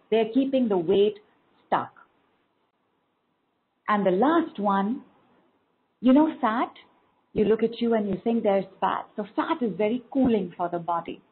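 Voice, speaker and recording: A woman wellness coach leads a motivational talk and leans hard on key words as she stacks them in rhythmic lists. Her voice comes through thin and tinny, as if over a phone line, and sits at an ordinary middle pitch.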